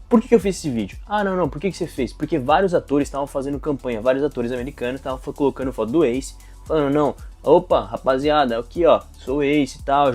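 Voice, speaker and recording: Mellow-voiced young Brazilian man venting. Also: mid-range voice. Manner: slangy, profane, animated venting. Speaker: aspiring actor vlogger. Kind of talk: casual talk to camera.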